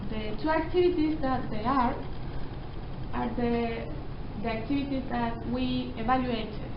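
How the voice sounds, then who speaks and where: Halting woman presenting in an echoey room. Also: fairly high pitch. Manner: halting, repeats words while searching. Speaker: thesis presenter. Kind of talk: academic presentation. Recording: echoey room, dull sound, noisy.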